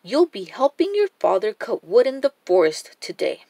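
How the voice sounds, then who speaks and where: A bossy, wicked storybook stepmother, played by a woman reader, stern and commanding. Her words come deliberately, with animated pitch and a dry, close sound. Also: gravelly, no echo.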